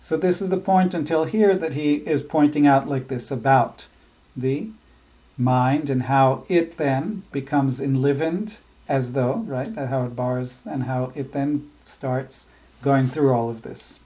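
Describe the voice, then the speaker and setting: A man teaching a scripture class, explaining ideas in a thoughtful, halting way with frequent restarts. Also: medium pitch, warm tone, low-quality recording. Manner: chants verses slowly. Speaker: spiritual teacher.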